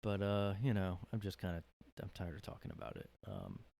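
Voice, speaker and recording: A man's voice: low-pitched, very animated, fairly quick, creaky, a little mellow. Rambling man talking alone, fillers. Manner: rambling, hesitant, many fillers. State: worn out after a cold. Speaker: podcast host. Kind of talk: casual solo monologue. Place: his own room.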